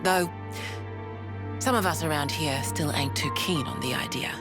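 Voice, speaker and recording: An actress playing a frank, plain-spoken young resistance warrior in a dramatic video game cutscene. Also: female voice, low-pitched, normal speed, over music. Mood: frank, resolute. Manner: plain-spoken, colloquial, explanatory.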